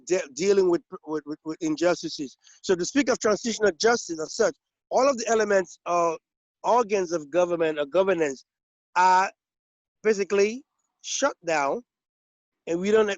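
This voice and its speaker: A man from Liberia speaking up in a webinar, making a long, halting comment full of restarts and repeated words over a video call. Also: high-pitched, no room sound. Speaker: webinar participant. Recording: dull, lo-fi video call audio.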